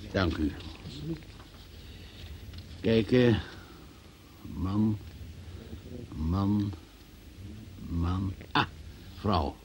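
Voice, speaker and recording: A man's voice: low-pitched, a little mellow. Stern, sceptical male magistrate, hissy recording. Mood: dry, businesslike. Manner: formal, insistent questioning. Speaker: examining magistrate. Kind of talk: Dutch radio play acting. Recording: noisy old radio play recording.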